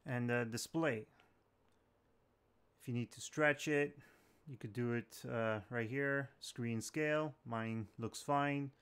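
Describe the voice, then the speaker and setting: Clear-voiced man giving a casual tutorial. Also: mid-range voice, takes it slowly, a bit bright. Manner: informal, plain-spoken. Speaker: tech tutorial host. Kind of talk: casual step-by-step tutorial narration.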